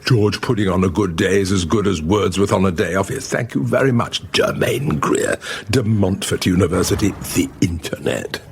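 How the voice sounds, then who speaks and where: An actor playing a pompous, excitable, touchy curator of a small museum in a radio sitcom, in a theatrical, grandiloquent manner. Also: male voice, low voice, normal speed, vocal fry, slightly rough, heavily acted. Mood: indignant.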